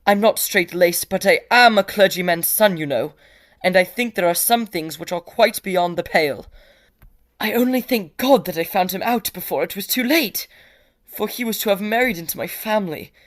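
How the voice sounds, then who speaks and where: A woman playing an anxious, earnest young gentleman in a period drama, pleading in formal phrases. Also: mid-range voice, moderate pace, close to an everyday voice. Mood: troubled, indignant. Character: anxious, earnest young clergyman's son warning a friend. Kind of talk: period audio drama dialogue.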